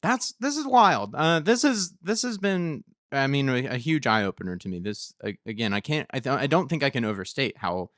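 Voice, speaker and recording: A man's voice: medium pitch, big pitch swings, normal speed, few pauses, fairly bright tone, dry recording, clean studio sound. A man hosting a casual podcast, enthusiastic and easily amazed, often repeating and stressing his words as he feels his way through a sentence. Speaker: podcast host.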